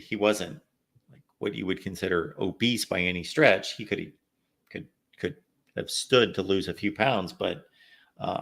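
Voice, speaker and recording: A man hosting a live call-in sports show, a little raspy from no sleep, chatting through viewer comments and game analysis. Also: low voice, a bit bright. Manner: reads viewer comments aloud, conversational analysis. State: slightly raspy from lack of sleep. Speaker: host.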